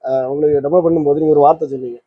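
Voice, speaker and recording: A man's voice: on the high side, fairly even intonation, very fast, breathy edge, no room sound, phone-quality sound. A man hosting a casual product demonstration, explaining things and mixing in English words. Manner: explanatory, mixes English words into his talk. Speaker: channel host and electronics maker demonstrating a kit.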